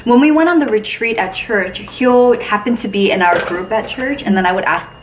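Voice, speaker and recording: Upbeat woman vlog host with a high voice, casual and giggly; roomy, noisy, distant recording. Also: expressive, moderate pace. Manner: laughs often, says "like" and "yo". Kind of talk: casual chat.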